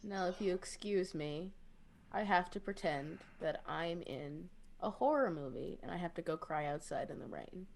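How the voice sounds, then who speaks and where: Female tabletop player, very low register, casual roleplay narration, slight background noise. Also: expressive, normal speed, gravelly, darkish tone.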